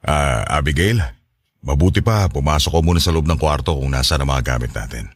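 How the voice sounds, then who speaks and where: Very deep, placating father. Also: a little fast, slightly rough, dry recording, clean studio sound. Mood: calm, placating. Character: middle-aged father, conciliatory, quietly serious. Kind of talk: Filipino radio drama acting.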